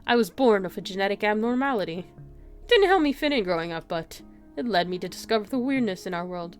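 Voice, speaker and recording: A woman voicing a gentle, bookish man, a kind outcast scientist in a visual novel, speaking earnestly. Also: mid-range voice, lively intonation, quick pace, soft background music, close to an everyday voice. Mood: earnest, reflective.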